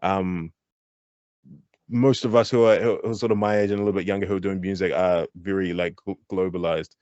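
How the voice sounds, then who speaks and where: Animated Kiwi man on a dry, dull call. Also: deep voice, a little fast, no room sound. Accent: New Zealand. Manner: animated, thinks aloud with many restarts. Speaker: guest, hip-hop artist. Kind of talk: candid interview talk. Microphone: online call.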